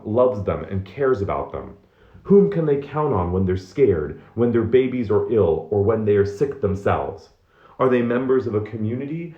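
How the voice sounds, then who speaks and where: Low-voiced man reading aloud in echoey room. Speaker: reader of a book excerpt. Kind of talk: read-aloud nonfiction narration. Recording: dull, roomy echoing home recording.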